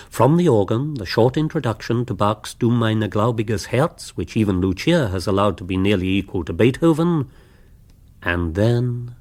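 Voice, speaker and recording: An actor playing an urbane author, narrating a comic novel in a measured, wry manner. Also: male voice, on the low side, a little mellow.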